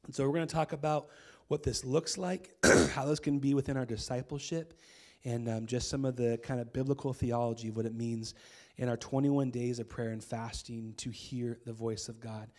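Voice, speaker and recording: A man in his thirties with an American accent preaching a sermon on a church stage into a handheld mic, his voice hoarse from a cold, casual and conversational with many fillers. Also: mid-range voice, little pitch movement, normal speed, darkish tone. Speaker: pastor. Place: church stage with string lights.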